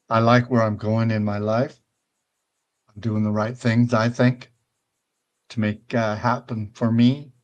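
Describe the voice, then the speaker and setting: A man's voice: on the low side, dull audio. A retired older man hosting a casual show, touring a virtual world and chatting in a rambling, conversational way, often checking in with the listener. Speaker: show host.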